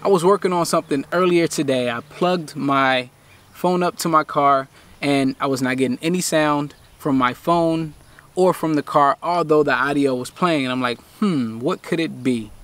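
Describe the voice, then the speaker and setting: A man's voice: fairly high pitch, normal speed, fairly bright tone. A man in his thirties hosting a tech channel, patiently walking viewers step by step through a fix while sitting in a parked car. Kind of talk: casual how-to walkthrough. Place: inside a parked car, daytime.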